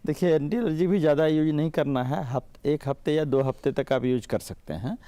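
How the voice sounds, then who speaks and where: A man with a soft, dark-toned voice speaks as a guest doctor. The sound is dry and close, and he gives practical health advice in a discussion. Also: a little high, brisk, no echo. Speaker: doctor, studio guest expert. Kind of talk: medical advice on a panel show.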